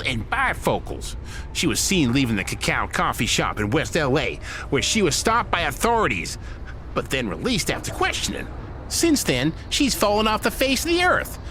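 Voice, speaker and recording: An actor playing a loyal, wisecracking Hollywood manager in an audio drama, folksy, placating and exasperated. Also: male voice, high-pitched, very animated, moderate pace, sharp tone, slight background noise, full character voice. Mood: businesslike, placating.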